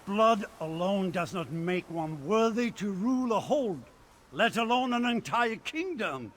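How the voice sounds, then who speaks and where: An actor playing an earnest, proud eastern Nord leader who speaks in a reflective way in a video game cutscene. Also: male voice, sits high, lively intonation, a little slow, slight background noise.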